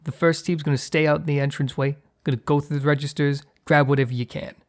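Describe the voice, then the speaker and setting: A man playing a gruff, short-tempered mob boss in an ASMR roleplay, with a gravelly, husky voice. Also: a little high, fairly even intonation, fast, close to an everyday voice. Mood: commanding, businesslike. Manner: commanding street-tough slang with crew, flustered hushed stammer with partner. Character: gruff, short-tempered male mob boss hiding his work from partner.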